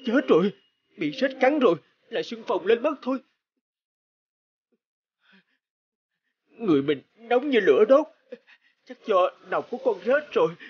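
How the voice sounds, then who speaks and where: An actor playing an honest, easily alarmed peasant farmer in a cartoon folk tale, earnest and expressive. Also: male voice, sits high, moderate pace, lo-fi sound. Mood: pained, despairing. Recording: music under the voice.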